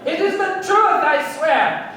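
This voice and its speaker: An actor playing a flustered, cornered French smuggler in a radio play, formal and pleading, with a French accent. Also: male voice, very high-pitched, animated, takes it slowly, a crowd in the background, background noise, exaggerated voice. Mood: desperate, pleading. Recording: echoey room, far from mic.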